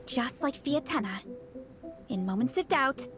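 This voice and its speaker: A woman's voice: sits high, lively intonation, unhurried, fairly bright tone, faint music behind. A woman voicing an upbeat young hero-training mentor in a video game, bubbly and encouraging, with frequent laughs.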